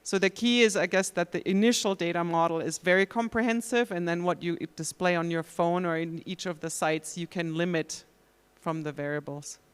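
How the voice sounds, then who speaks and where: Panelist, steady and methodical, flat intonation, smooth clear voice, long hall reverb. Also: mid-range voice, moderate pace, few pauses. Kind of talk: conference panel answer. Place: conference hall.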